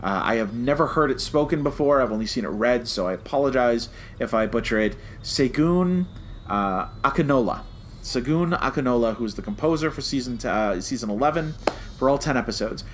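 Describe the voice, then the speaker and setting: A man with a mid-pitched voice co-hosts a review podcast. He argues his opinions emphatically and repeats phrases for stress. Some noise sits in the recording. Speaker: podcast co-host.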